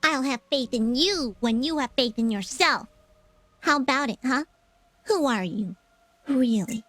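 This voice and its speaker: Feisty cartoon fox sidekick, high-pitched, very animated, cute, emotional, video game. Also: female voice, measured pace, no echo, exaggerated voice. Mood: exasperated. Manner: sassy, emphatic, emotional. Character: feisty small female flying fox-like companion creature.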